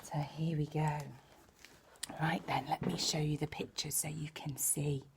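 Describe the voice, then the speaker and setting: A woman reading a picture book to children like a primary teacher, expressive and exclaiming, with chatty asides. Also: low-pitched, unhurried, gravelly, background noise. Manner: expressive, exclaiming, with gasps and hushed dramatic moments.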